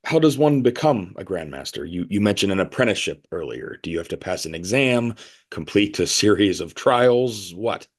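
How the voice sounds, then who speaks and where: Curious, stammering interviewer, lively delivery. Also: male voice, sits low, normal speed, breathy edge. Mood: inquisitive, flustered. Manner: hesitant, stammering, inquisitive. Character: curious, flustered male interviewer visiting a strange commune. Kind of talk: audio play acting.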